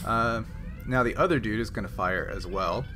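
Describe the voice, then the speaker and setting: Halting male game master, noisy background. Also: fairly low pitch. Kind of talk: running a tabletop roleplaying game, explaining rules.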